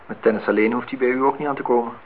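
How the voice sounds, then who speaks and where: A man's voice: medium pitch, steady tone, very fast, breathy edge, lightly acted. An actor in a radio play as a wry man who asks probing questions in a dry, teasing way. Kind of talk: Dutch radio play acting. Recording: dull, noisy old radio recording.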